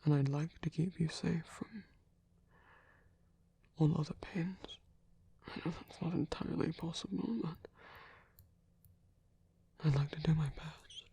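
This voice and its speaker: A performer voicing a tender reformed villain as a protective lover in an intimate, gentle, breathy ASMR roleplay, speaking slowly in a hushed whisper. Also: medium pitch, fairly even intonation, no room sound, exaggerated voice. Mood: tender, apologetic. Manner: slow, gentle, hushed, reassuring.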